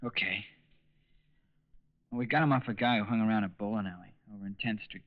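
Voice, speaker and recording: Male actor for timid young dupe, lowish voice, halting slang, dull 1940s radio sound. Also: close to an everyday voice. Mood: sheepish. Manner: hesitant, boyish slang, meek. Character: timid, gullible young man, reluctant draft dodger. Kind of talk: 1940s radio crime drama acting. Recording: muffled 1940s radio recording.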